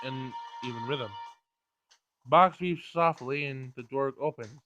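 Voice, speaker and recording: A man's voice: fairly high pitch, sharp tone, rough recording. A man reading visual novel narration aloud as he plays, stumbling over words now and then. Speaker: gameplay streamer reading aloud. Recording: game music behind. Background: game music.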